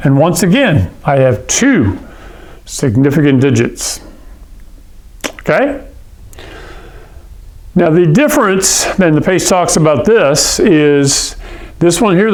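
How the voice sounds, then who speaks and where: A man's voice: fairly high pitch, a little mellow. A man from Hawaii teaching a science lesson, explaining patiently and often checking in with "okay?" and "all right?". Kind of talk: classroom lecture.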